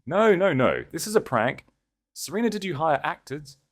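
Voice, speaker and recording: A man's voice: on the high side, big pitch swings, normal speed, voice pushed up. A man voicing a panicking, frantic husband in disbelief, with a thin, strained voice, in dramatic audiobook dialogue. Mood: hysterical disbelief. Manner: frantic, disbelieving, stammering out questions. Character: hysterical, panicking, desperate ambitious husband, adult man.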